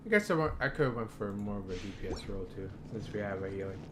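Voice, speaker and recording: A man's voice: on the low side, lively intonation, fast, noisy background. A young man commentating casually over his own gameplay, laid-back and thinking aloud with slang. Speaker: gaming streamer.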